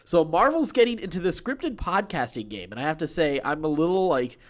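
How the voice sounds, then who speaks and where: A man's voice: a little high, sing-song, brisk. A man hosting a podcast, reading entertainment news with informal, enthusiastic, chatty asides. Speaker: podcast host.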